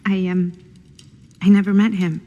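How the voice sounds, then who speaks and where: An actress playing a hesitant, guarded young woman in a video game, with a husky, creaky voice. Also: medium pitch, flat delivery, a little slow, warm tone, dull audio, close to an everyday voice. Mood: hesitant, subdued. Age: young adult. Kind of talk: naturalistic video game acting.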